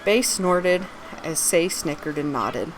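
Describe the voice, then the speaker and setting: A woman's voice: lowish voice, expressive, slow pace, background noise. A woman reading her own fantasy story aloud, narrating scripted prose and its dialogue.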